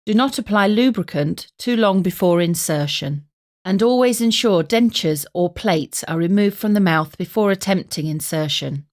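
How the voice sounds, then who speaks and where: A woman's voice: lowish voice, darkish tone. A woman reading scripted narration for a medical training film in a formal, instructive manner. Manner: clear-cut, formal, instructive.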